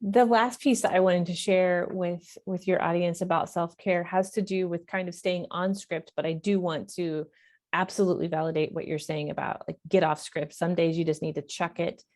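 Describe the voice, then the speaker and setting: Lowish, warm-voiced woman counselor. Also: fairly even intonation, moderate pace. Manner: earnest and emphatic, repeats key points; talks in long flowing runs with fillers. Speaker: professional counselor. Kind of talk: conversational podcast interview.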